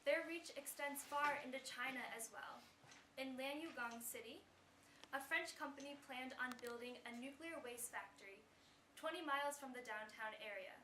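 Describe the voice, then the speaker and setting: A bright, high-pitched woman presents a prepared talk in a measured, deliberate way. Her voice rings out in an echoey hall over faint background noise. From United States. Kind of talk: prepared talk read to an audience.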